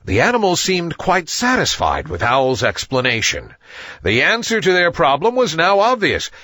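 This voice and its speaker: Husky, very animated male storyteller. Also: a little high, normal speed, no room sound, studio quality. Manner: expressive storybook delivery. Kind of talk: scripted children's story narration.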